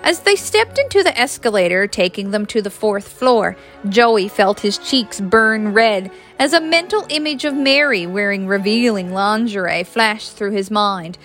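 A woman's voice: medium pitch, lively intonation, a little slow, fairly bright tone, faint music behind. A woman reading a scripted story aloud as a narrator.

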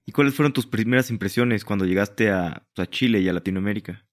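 Un hombre que conduce una entrevista de manera conversacional, repitiendo palabras mientras arma sus preguntas. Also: male voice, lowish voice, quick pace. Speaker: interviewer, podcast host. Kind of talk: conversational interviewing.

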